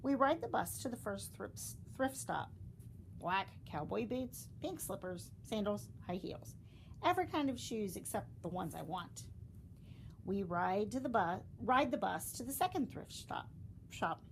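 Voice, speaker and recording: A woman reading a children's storybook aloud, expressively, with dramatic emphasis and deliberate pauses. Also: medium pitch, very animated, vocal fry, slight background noise. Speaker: storybook reader.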